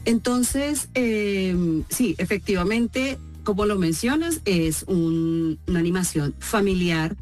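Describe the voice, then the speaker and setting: A Colombian woman, a voice actress, answering interview questions in an expressive storytelling way. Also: mid-range voice, takes it slowly, hardly any pauses, faint music behind. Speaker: voice actress guest.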